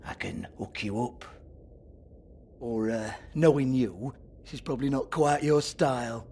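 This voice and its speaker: A man's voice: medium pitch, measured pace, darkish tone, slightly rough. A man voicing a foul-mouthed, mocking British club owner in a video game, sleazy and swaggering. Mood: sneering. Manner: sarcastic, taunting, swaggering. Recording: music under the voice.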